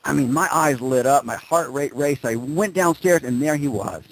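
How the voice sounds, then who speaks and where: A man speaking as a motivational presenter, telling personal stories and driving points home with emphatic repetition and dramatic pauses. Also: mid-range voice, slight background noise, rough recording. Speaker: motivational speaker presenting on a group conference call. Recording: conference call audio.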